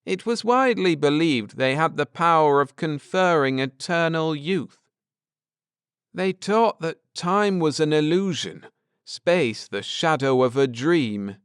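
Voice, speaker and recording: A man voicing a grim, intense space-travelling husband in an audiobook, lecturing gravely and earnestly with drama. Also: fairly high pitch, animated, takes it slowly, dry recording, studio quality, pitched above the performer's own voice. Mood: grave, explaining.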